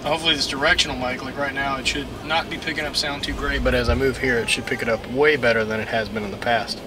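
A man's voice: medium pitch, quick pace, background noise. A young man with a Texan accent talking casually to a dashboard camera from inside his pickup truck, rambling with lots of fillers. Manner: relaxed, rambling, many fillers. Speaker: vlogger. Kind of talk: casual vlog talk to camera. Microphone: camera mounted on the dashboard.

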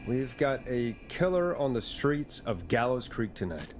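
An actor playing a jaded late-night radio host in a video game, with a wry, exasperated manner. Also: male voice, mid-range voice, very animated, measured pace, noisy background. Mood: composed, on air. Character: jaded fallen big-city radio DJ, dry and weary. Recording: music playing behind the voice.

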